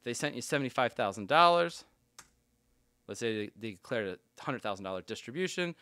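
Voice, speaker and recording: A man's voice: medium pitch, animated, fairly quick, pushed and bright, no echo. A man giving an explanatory tutorial as an instructor, talking informally through worked examples step by step. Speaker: accounting instructor.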